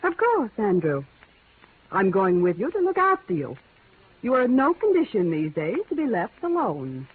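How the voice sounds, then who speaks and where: An actress playing a prim, domineering wife who speaks formally and insistently, in old-time radio drama style. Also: female voice, medium pitch, wildly swooping pitch, normal speed. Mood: firm, insistent. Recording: dull, hissy vintage radio recording.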